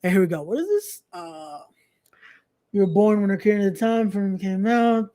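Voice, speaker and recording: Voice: mid-range voice, expressive, normal speed, warm tone. A young adult podcast host chatting casually on a live stream, promoting events and often repeating phrases with informal, slangy asides.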